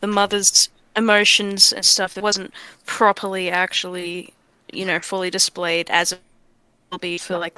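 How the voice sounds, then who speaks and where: A woman's voice: fairly low pitch, sharp tone, smooth, no echo, poor audio. A woman talking casually on a livestream as a creator and director, earnest, rambling and freely swearing. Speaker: audio drama creator and director.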